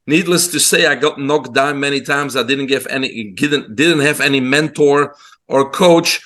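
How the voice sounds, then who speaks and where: A man in his fifties from Belgium with a Belgian accent, a guest on a talk show, relating his life story in a relaxed way. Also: mid-range voice, normal speed. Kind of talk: casual interview.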